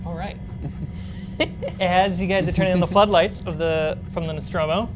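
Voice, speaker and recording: A woman's voice: fairly low pitch, steady tone, moderate pace, background noise. A woman game master narrating a tabletop role-playing game in an improvised, suspenseful way. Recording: loud background music under the voice.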